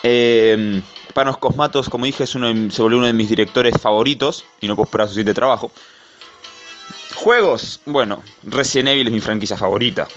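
A man's voice: medium pitch, brisk, fairly bright tone, faint music behind. A man talking casually on his own in a rambling, self-deprecating way, introducing himself in a voice message. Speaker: fan sending a voice message. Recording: dull lo-fi voice message recording.

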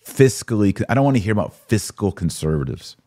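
A man's voice: on the low side, big pitch swings, fairly quick, darkish tone, no echo, clean studio sound. An American man hosting a live podcast, commenting and answering viewers in an emphatic way, repeating phrases for stress. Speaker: podcast host.

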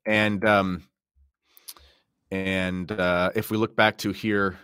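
A man's voice: low-pitched, little pitch movement, slow, pushed and bright, clear, resonant tone, dry recording, studio quality. A man talking casually and emphatically as he explains religious material on a podcast. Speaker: podcast guest discussing religion. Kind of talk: casual explanatory talk with slides and notes.

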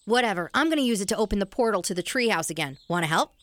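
A woman's voice: mid-range voice, lively intonation, racing, fairly bright tone, no room sound, natural voice. A woman playing a practical, sceptical young boy in a family audio drama. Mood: matter-of-fact.

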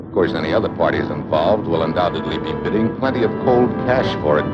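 A low-pitched, hard-boiled American secret agent, full of dry wisecracks. He talks like a 1950s spy serial hero, on a dull vintage soundtrack with music behind him. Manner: wisecracking, sardonic. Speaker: lead actor and first-person narrator. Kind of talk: 1950s television spy drama acting and narration.

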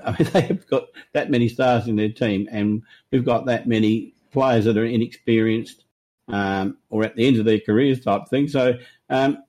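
Aussie male co-host, warm mellow tone, considered footy analysis. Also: mid-range voice, steady tone, normal speed. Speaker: podcast co-host. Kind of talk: casual sports podcast chat.

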